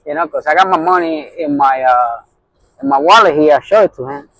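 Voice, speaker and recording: A man's voice: fairly high pitch, brisk, a bit bright, rough recording. A middle-aged man with a Puerto Rican accent, a truck driver telling a heated story in a casual vlog, with constant fillers. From New York. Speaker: owner-operator truck driver.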